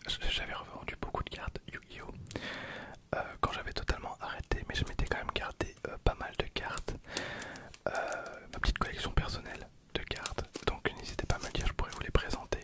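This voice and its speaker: Male, 20s, ASMR host. Fully whispered, gentle, halting delivery with repeated phrases. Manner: soft, hesitant, repeats words and phrases. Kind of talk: whispered ASMR card-opening commentary.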